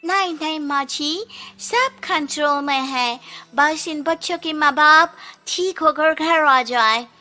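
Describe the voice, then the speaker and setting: Woman acting high-pitched, earnest young daughter-in-law. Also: slow pace, bright, forceful tone, soft background music, full character voice. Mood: reassuring. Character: kind, selfless young Chinese daughter-in-law helping others. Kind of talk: animated moral story acting.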